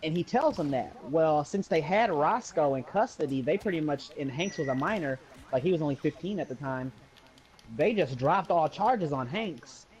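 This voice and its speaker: A man's voice: on the high side, animated, normal speed, a little mellow. A young adult American man casually telling a story to friends in slangy speech, acting out the dialogue as he goes. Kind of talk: casual anecdote among friends. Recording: dull lo-fi voice recording.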